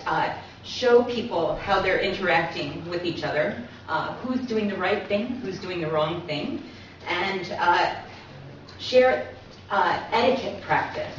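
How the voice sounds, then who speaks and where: A woman giving an explanatory public lecture as co-presenter, checking in with listeners as she goes. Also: medium pitch. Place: echoey indoor lecture room. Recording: very echoey room, distant mic, muffled, noisy.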